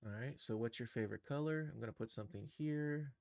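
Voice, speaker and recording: Creaky-voiced man talking through a screen tutorial. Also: medium pitch, fast talker, a little mellow, dry recording, clean studio sound. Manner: thinking aloud, muttering short step-by-step remarks. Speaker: game developer streaming a tutorial. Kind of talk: casual screen-share walkthrough, narrating own steps.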